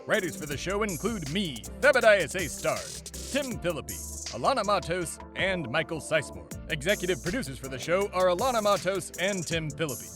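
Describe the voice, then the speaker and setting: A pompous male patriot played by a man holds forth as a comedy podcast host. His voice sits a little high and swings widely in pitch. He delivers everything with mock-serious grandeur, as if he were enormously important. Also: very animated, fairly quick, music in the background. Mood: pompous, commanding. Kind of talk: mock-serious comedic monologue and dramatic reading.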